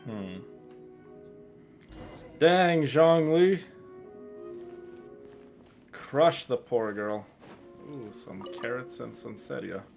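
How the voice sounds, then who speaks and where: A man's voice: medium pitch, drawn-out words, vocal fry, long pauses, music in the background. A man giving casual commentary as he plays a game, repeating phrases for emphasis. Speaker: gaming streamer.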